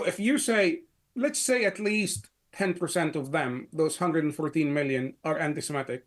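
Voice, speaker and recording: A middle-aged man from Egypt speaks as an interview guest in a somewhat high register. He talks in long stretches, peppered with "you know" and false starts. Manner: talks in long runs with many "you know" fillers and restarts. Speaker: guest, security analyst. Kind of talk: interview answers.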